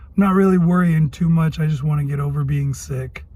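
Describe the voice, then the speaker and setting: A man with a cold and a hoarse voice, rambling through a casual video diary and thinking aloud as he goes. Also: on the high side, a little fast, a little mellow. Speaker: health vlogger.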